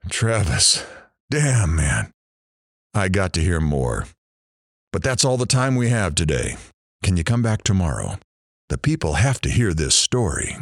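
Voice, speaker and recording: A man's voice: deep voice, big pitch swings, a little slow, long pauses, dry recording, clean studio sound, full character voice. A man playing a brash, crude and nosy radio talk show host in an audio drama, teasing and showy. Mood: hyped, showy.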